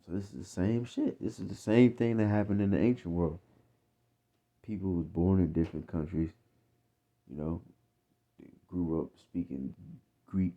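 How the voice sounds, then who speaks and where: A man's voice: deep voice, normal speed, pauses often, warm tone. An American man giving informal, off-the-cuff religious teaching in blunt, profane street talk, repeating himself and often checking that listeners follow. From United States. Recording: muffled, narrow phone-like sound.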